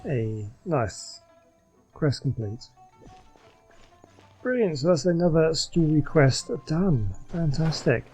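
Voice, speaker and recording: A man's voice: a little high, animated, takes it slowly, long pauses, a little mellow, faint music behind. A man giving casual, chatty let's play commentary in a rambling way. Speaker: gaming channel host.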